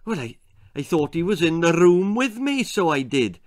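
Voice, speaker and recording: A man's voice: on the high side, big pitch swings, normal speed, no room sound, clean studio sound, voice pushed up. A man voicing a rambling, nostalgic old Welsh grandmother in a children's storybook, prattling wistfully. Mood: flustered.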